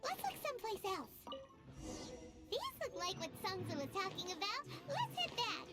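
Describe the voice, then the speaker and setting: A woman's voice: very high-pitched, huge pitch swings, normal speed, over loud music, exaggerated voice. A woman voicing a tiny, chirpy, childlike fairy companion in an anime video game, eager and easily indignant. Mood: eager, cheerful.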